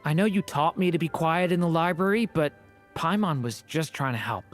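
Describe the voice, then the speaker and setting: A man voicing an earnest, polite and gentle young male hero. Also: on the high side, a little fast, faint music behind, close to an everyday voice. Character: earnest young male hero searching for his mother's past.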